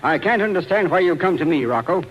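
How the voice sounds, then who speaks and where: An actor playing a brisk, hard-to-impress Broadway producer in a 1940s radio drama. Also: male voice, high-pitched, animated, muffled recording, slight background noise. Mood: businesslike.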